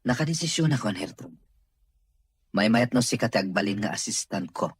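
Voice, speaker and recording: Man acting a very husky Filipino boss. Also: fairly low pitch, lively intonation, normal speed, no echo. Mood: calm, decisive. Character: ailing male boss deciding on his business, commanding. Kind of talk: Ilocano radio drama acting.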